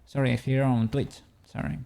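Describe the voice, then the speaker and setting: A man's voice: mid-range voice, fairly even intonation, moderate pace, gravelly, warm tone. A man from Spain with a Spanish accent, chatting casually with viewers while he works on a 3D model during a stream. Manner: rambling, answers chat, chuckles often.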